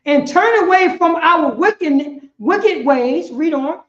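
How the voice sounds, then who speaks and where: A woman's voice: extremely high pitch, animated, slow. A woman teaching a religious class in an impassioned, emphatic way, repeating phrases and asking rhetorical questions. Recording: echoey room, dull lo-fi sound.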